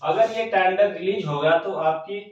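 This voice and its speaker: A man with a high voice gives an informal news update as a channel host. He explains point by point, repeating himself and addressing viewers directly. The recording is heavily echoey and sounds far from the microphone. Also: moderate pace. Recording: very echoey room, distant mic, dull sound.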